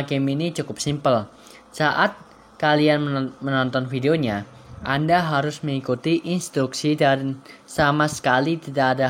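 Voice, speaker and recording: A man's voice: mid-range voice, fairly bright tone. A man with an Indonesian accent hosting a video in an informal commentary style. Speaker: host of a horror recommendation channel. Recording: clear background noise and ambience.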